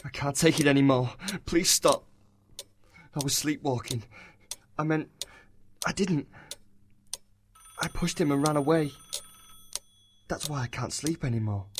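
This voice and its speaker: An actor playing a guilt-ridden, desperate young insomniac in a horror audio drama, anguished and pleading. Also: male voice, a little high, measured pace, long pauses, a little hiss or hum, lightly acted. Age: young adult. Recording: loud music under the voice.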